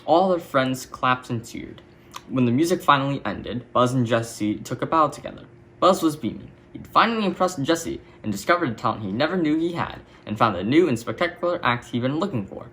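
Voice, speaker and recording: A man's voice: medium pitch, animated, a little fast, a bit bright, a little hiss or hum. A man reading a children's story as a scripted narration. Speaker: storybook reader.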